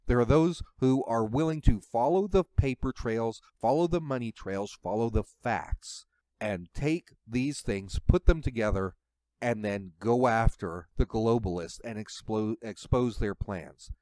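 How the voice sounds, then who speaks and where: A man's voice: medium pitch, a little mellow, no room sound. A man giving opinionated political commentary in an emphatic, rhetorical, preachy way.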